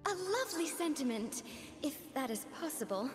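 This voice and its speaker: Sweet young living doll, high lively voice, earnest, genteel, game character, music behind. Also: female voice, normal speed, in a large hall. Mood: gentle, earnest. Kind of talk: video game character acting. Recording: game audio over music.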